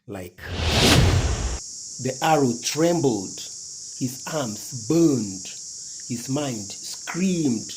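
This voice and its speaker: A man narrating a folktale in a dramatic, deliberate way, with pauses between short phrases. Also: mid-range voice, noisy background. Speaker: storyteller.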